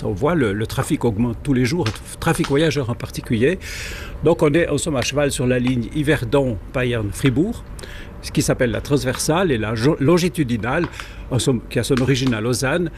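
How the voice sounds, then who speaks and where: A man's voice: mid-range voice, a little fast, darkish tone, slight background noise. A man with a Swiss French accent, a guest on a radio show, explaining things thoroughly and with digressions. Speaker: guest, local rail historian. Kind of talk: radio interview.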